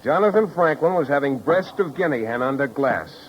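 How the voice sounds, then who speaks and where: Man playing hard-boiled radio detective, animated and wry. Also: mid-range voice, brisk, lightly acted. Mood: brisk. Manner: wry, clipped, tough-guy delivery. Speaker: lead actor and narrator. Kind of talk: 1950s radio detective drama acting. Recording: dull old 1950s radio recording.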